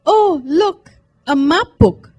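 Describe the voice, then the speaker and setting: A woman reading a story aloud, voicing a childlike, excitable young woman with an eager manner. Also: very high-pitched, slow, pitched above the performer's own voice, exaggerated voice. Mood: excited.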